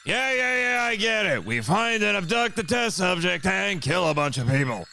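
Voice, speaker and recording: A man playing a brash, dim-witted henchman monster in an audio drama, gravelly and flippant with slangy insolence. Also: high voice, big pitch swings, moderate pace, sharp tone, a little hiss or hum, full character voice. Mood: cocky, irritated.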